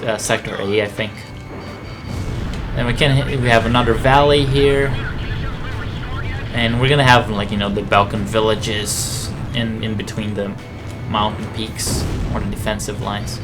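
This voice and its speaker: A man's voice: on the low side, steady tone, a little slow, long pauses, over music. A man giving casual, rambling video game commentary, thinking aloud in a conversational way.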